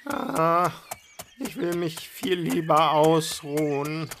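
A man's voice: fairly high pitch, flat delivery, slow, fairly bright tone, a little hiss or hum. A man playing a whiny, haughty talking donkey that feels sorry for itself in a fairy-tale audio play. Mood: whining. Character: grumbling, self-pitying male talking donkey with bellyache.

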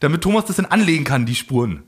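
A man with a somewhat high voice chatting loosely on a podcast. He rambles, breaks off and restarts his sentences as he goes. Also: sing-song, fast, husky. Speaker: podcast co-host. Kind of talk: casual chat.

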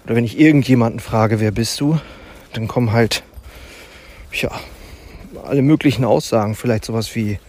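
A man hosting his own podcast, talking reflectively while walking, unhurried and thoughtful with pauses and fillers. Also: medium pitch, darkish tone. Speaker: podcast host. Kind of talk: reflective solo podcast monologue. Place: outdoors in a forest. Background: faint forest ambience and animal sounds.

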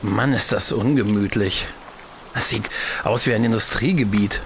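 An actor playing an anxious, sympathetic companion in a German audio drama. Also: male voice, on the low side, normal speed, a little hiss or hum, lightly acted. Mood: uneasy, resolute. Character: anxious, sympathetic male companion, ready to act.

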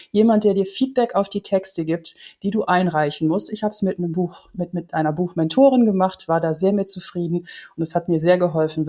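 Lady, soft mellow voice, chatting through tips for a podcast. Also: lowish voice. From Netherlands. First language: Dutch. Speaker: business coach and author. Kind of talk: podcast tip monologue, conversational.

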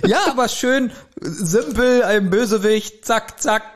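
A man's voice: high-pitched, slow. A middle-aged man co-hosting a podcast, chatting in a casual, colloquial and jokey manner. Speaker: podcast co-host. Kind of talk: casual chat.

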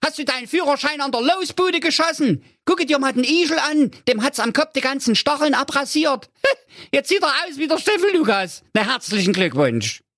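Man doing a squeaky foul-mouthed hare and hedgehog, very lively. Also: moderate pace, no room sound, studio quality, heavily acted. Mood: indignant. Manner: squeaky, crude and cursing.

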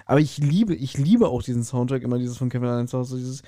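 A man's voice: mid-range voice, quick pace, warm tone, no echo, studio quality. A man co-hosting a podcast in casual chat, talking enthusiastically and rambling as he repeats phrases. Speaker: podcast co-host.

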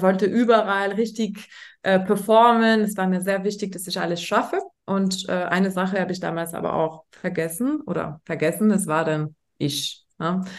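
A woman from France with a French accent, a native French speaker, talking as a guest in a casual interview in a thoughtful, hesitant way with frequent fillers. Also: lowish voice. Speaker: guest, startup founder.

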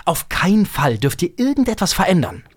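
A man's voice: high-pitched, big pitch swings, a little fast, pitched above the performer's own voice. Man as cautious boy, reluctant, anxious, insistent, audio drama scene.

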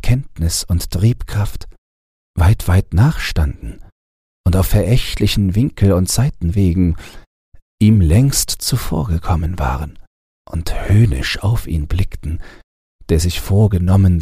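Low, husky, slow male storyteller. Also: dry recording, studio quality. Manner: measured, expressive storytelling. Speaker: audiobook narrator. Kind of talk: dramatic scripted fairy-tale narration for falling asleep.

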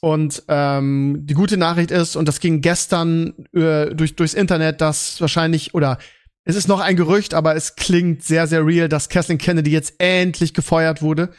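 Higher-voiced man hosting a casual podcast. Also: fairly even intonation, moderate pace, clean tone. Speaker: podcast host. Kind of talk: casual podcast chat about series and films.

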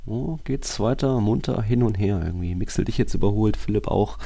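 A man's voice: fairly low pitch, brisk, gravelly, mellow. A man co-hosting a casual football podcast, colloquial and musing, thinking aloud in short asides. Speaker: podcast co-host.

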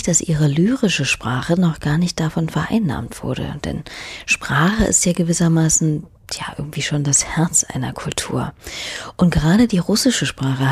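A woman hosting a podcast, reading scripted narration in a soft, half-whispered way. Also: low-pitched, husky, dry recording. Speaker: podcast host.

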